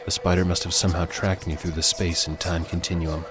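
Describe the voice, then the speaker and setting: A man's voice: low voice, level tone, a little fast, background noise, close to an everyday voice. A man voicing a solemn, unshakeable time traveler in an audio story, speaking in grave, formal, archaic phrases. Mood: solemn, certain.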